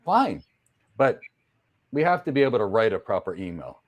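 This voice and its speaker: A man's voice: on the low side, normal speed. A man of Greek background hosting a casual interview, telling emphatic stories. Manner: animated, emphatic storyteller. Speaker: host.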